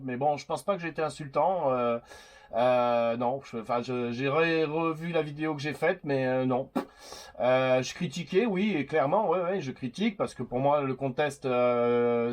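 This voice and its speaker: A man chatting casually as a CB radio operator, hesitant and rambling as he goes. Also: mid-range voice. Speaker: CB radio operator making videos.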